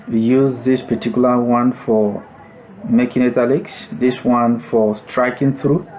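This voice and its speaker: A man narrating a step-by-step software tutorial as an instructor, explaining things in short, separated phrases. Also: medium pitch, a little hiss or hum, poor audio.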